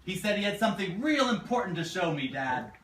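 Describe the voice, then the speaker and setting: A woman's voice: on the low side, sing-song, a little fast, a bit bright. A woman playing an earnest, exasperated teenage son in an amateur puppet show, exclamatory and repeating words. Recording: distant mic, echoey very noisy room.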